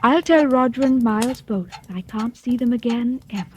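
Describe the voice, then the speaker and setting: Actress doing a coy scheming wife, high voice, darling this darling that, hissy old radio play. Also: female voice, normal speed, darkish tone, close to an everyday voice. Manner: coy, wheedling, says "darling" a lot. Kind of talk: 1940s radio acting. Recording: old radio broadcast with hiss.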